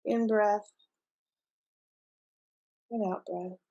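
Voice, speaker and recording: A woman leading a guided relaxation in a gentle, unhurried, soothing manner with pauses between instructions. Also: medium pitch, fairly even intonation, muffled recording. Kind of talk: guided meditation, softly instructive.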